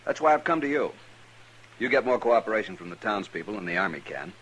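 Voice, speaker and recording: An actor playing a rigid, insistent cavalry lieutenant with an American accent, speaking in a clipped, formal way in a western radio drama. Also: male voice, on the low side, sing-song, fast talker, fairly bright tone, slightly rough, full character voice. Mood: insistent, indignant. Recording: noisy old radio recording.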